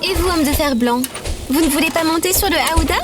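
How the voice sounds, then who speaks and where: A woman's voice: extremely high pitch, fairly quick, heavy background noise. A woman playing a cheerful female robot companion in an audio drama, precise and enthusiastic, with a naively fierce streak. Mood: matter-of-fact. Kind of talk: audio fiction acting.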